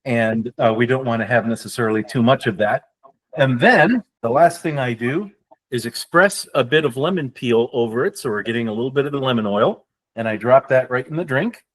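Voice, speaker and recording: A man's voice: medium pitch, brisk. A man co-hosting a podcast, talking through a cocktail recipe step by step as he mixes it. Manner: descriptive, explanatory, with fillers. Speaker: podcast co-host, drink mixer. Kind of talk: casual podcast chat, explaining a cocktail.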